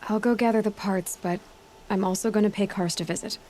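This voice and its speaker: A woman's voice: mid-range voice, fairly even intonation, fairly quick, warm tone, a little hiss or hum, lightly acted, low-quality recording. An actress playing a headstrong, curious young female outcast hunter in a video game, talking in a direct, questioning and slightly wry way. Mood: earnest. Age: young adult. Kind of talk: video game cutscene acting.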